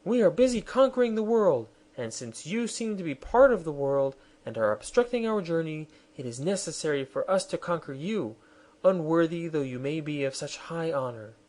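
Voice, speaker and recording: A man voicing a haughty, imperious young queen in a shrill, scornful voice. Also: animated, moderate pace, darkish tone, lightly acted.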